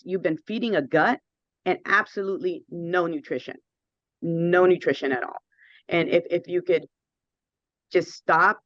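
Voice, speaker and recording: A woman in her fifties with a hoarse voice, a guest telling her story earnestly and with emphasis. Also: on the low side, no echo, muffled recording. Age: 50s. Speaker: guest sharing her experience.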